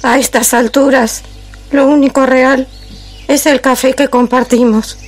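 A woman playing a melancholy, lonely wife in a poetic theatrical monologue, with a measured, reflective delivery. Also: sits high, fairly even intonation. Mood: wistful, resigned. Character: melancholy wife recalling a silent, lonely marriage. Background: music.

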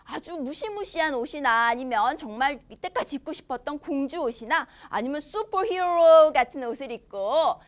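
A young woman teaching a children's language lesson, expressive and enunciating slowly for young learners. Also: high voice. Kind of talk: children's language lesson, switching between Korean and English.

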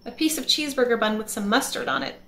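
A woman's voice: a little high, fast talker, fairly bright tone, lightly acted. A woman voicing a hungry, hopeful male gardener who speaks plainly and wistfully in a storybook reading. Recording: echoey room with faint music.

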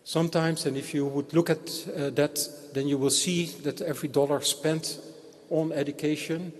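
Man, ceremony speech, measured and hesitant, frequent fillers, long church reverb. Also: on the high side, fairly even intonation. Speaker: institute representative introducing speaker. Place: large old church.

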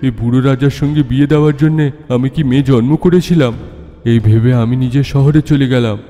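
Man voicing a dark, echoing ghost of a sculptor. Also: medium pitch, fast, natural voice. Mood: sorrowful. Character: ghost of a grieving sculptor father. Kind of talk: dramatic Bengali horror-tale narration. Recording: long reverb, music behind.

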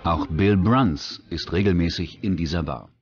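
A man's voice: deep voice, measured pace, slightly rough, muffled recording, a faint crowd. A man reading scripted true-crime documentary narration as the narrator. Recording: music bed behind the voice.

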